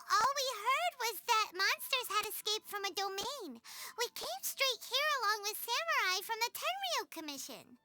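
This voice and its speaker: Squeaky little fairy girl, very high, lively swoops, chirpy and fretful, anime game character, music behind. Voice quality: squeaky, childlike. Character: tiny chatty childlike fairy companion girl, excitable and wary.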